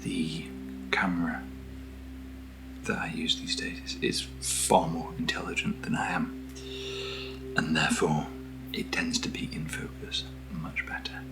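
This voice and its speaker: A British man speaking in a soft, breathy near-whisper as he hosts a casual ASMR video, gentle and unhurried, close to the microphone. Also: a bass voice, flat delivery, music in the background, rough recording. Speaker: ASMR video host reviewing camera gear. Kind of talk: soft-spoken ASMR, casual unboxing chat.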